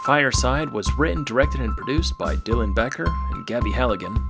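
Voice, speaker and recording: A man's voice: mid-range voice, expressive, brisk, loud music behind the voice. A man reading scripted end credits as an announcer.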